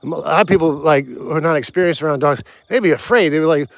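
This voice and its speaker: A man's voice: a little high, quick pace, gravelly. A man livestreaming on a walk outdoors, rambling casually with many restarts and answering viewer comments. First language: English. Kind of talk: casual live stream chat.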